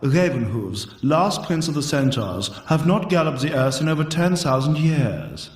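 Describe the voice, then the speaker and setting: An actor playing a vain, condescending centaur prince in a game, with a haughty drawl. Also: male voice, fairly high pitch, sing-song, measured pace, noisy background. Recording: roomy echo from far off.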